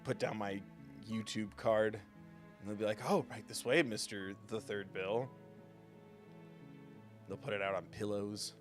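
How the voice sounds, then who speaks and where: A man streaming a game live, talking in a jokey, playful, rambling way with a mid-pitched voice. Faint game music plays under his commentary. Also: fairly even intonation, a little slow, pauses often.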